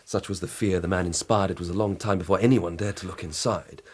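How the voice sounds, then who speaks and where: An actor playing an earnest, proud police detective in a radio drama, formal and methodical, with a dramatic way of telling things. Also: male voice, sits low, steady tone, fast talker, slightly rough. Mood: grave, dramatic. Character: earnest, proud male police detective reporting a grisly murder.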